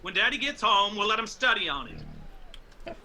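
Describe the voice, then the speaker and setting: Man acting southern big brother, very bright forceful voice, high, cautious type. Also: wildly swooping pitch, unhurried, gravelly, a little hiss or hum, exaggerated voice. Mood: exasperated, restraining. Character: level-headed elder brother in mountain clan. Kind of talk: radio drama acting.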